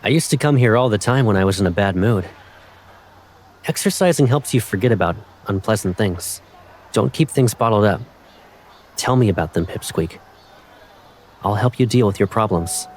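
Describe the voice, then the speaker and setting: A man's voice: fairly low pitch, animated, moderate pace, pauses often, a little mellow. A man playing a teasing, affectionate young man, a protective childhood friend, in a fan dub of a romance game. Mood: gentle, encouraging. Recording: faint music behind.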